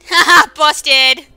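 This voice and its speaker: Bright, high-pitched woman doing sarcastic game commentary. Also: dry recording. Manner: sarcastic, exclamatory; informal, swearing. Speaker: gameplay commentator.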